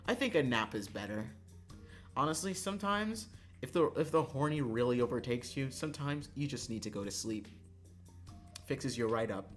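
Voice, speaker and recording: A man streams a visual novel with a lively, swinging delivery. He is irreverent and casual, dropping profanity and jokes between read-alouds. Faint game music plays behind his voice. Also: medium pitch. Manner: irreverent, profane joking, quick to laugh.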